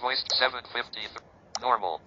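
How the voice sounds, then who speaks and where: A man's voice: medium pitch, extremely slow. A synthetic male screen-reader voice reading out interface labels in a clipped, robotic way. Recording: muffled, noisy phone speaker playback.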